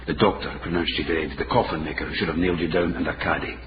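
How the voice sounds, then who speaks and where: An actor playing a shrewd, commanding eighteenth-century Scottish investigator in a radio drama, dry, sardonic and authoritative. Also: male voice, rumbling low, fairly even intonation, fast talker. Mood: accusing, dry. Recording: noisy, dull radio drama recording.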